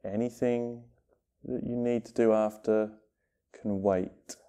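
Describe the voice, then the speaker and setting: Male meditation guide, very soft dark tone, smooth and clear, short phrases with long pauses. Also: lowish voice, steady tone. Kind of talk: guided meditation, gentle instruction.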